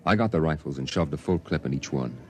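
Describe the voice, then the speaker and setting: A man playing a somber storyteller recalling his boyhood, grave and measured, in 1950s radio drama narration. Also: rumbling low, animated, loud music behind the voice. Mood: tense.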